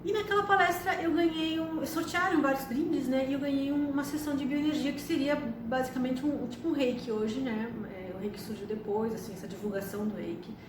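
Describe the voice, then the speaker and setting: A woman's voice: high-pitched, steady tone, fairly quick, hardly any pauses. Brief: woman, 50s, chatty storyteller, distant mic, noisy echoey room. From Argentina. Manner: chatty, frequent tag questions. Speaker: astrologer and psychotherapist. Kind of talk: personal storytelling to camera.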